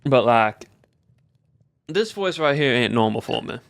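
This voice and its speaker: Male game streamer, mid-pitched and clear-voiced, affected US Southern accent, lax drawl, casual chat. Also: animated, unhurried, a bit bright, no echo. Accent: put-on US Southern. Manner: lax Southern drawl, draws out sentence endings. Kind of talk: casual gameplay livestream chat.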